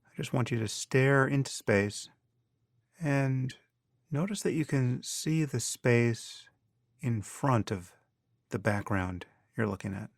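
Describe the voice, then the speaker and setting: A man leads a guided meditation in a calm, measured way. He speaks very slowly in short phrases separated by long pauses. His voice carries a creaky fry and sounds close and dry, with no room around it. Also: mid-range voice, dry recording. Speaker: meditation teacher.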